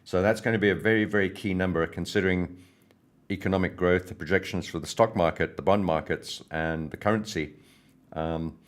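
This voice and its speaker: A hoarse man hosting a trading livestream, greeting viewers by name in a friendly, rambling way before going through market news. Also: sits low, steady tone, fairly quick. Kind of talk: casual live-stream chat and market commentary.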